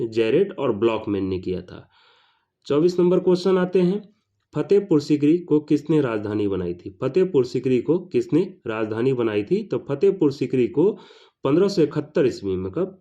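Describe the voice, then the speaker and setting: A man's voice: medium pitch, dark tone. A man teaches like an exam tutor, in a didactic question-and-answer manner, repeating each question and its answer. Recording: dull lo-fi sound.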